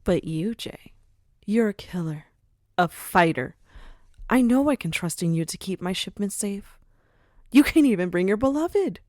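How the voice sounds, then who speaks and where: A woman playing a commanding, cultured mafia boss in a scripted audio drama, poised and grandly formal, with sly warmth. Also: mid-range voice, lively intonation, unhurried, pauses often, no echo, studio quality, natural voice. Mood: earnest, businesslike. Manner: poised, grandly formal, uses endearments like dearie. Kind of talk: scripted audio roleplay acting.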